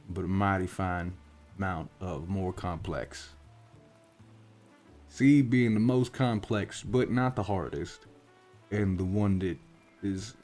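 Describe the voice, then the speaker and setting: Guy doing a deep voiced orc PI, tired and wry, us drawl, soft spoken fantasy roleplay, music under it. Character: gruff, world-weary male orc warlock private investigator. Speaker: voice actor in roleplay audio.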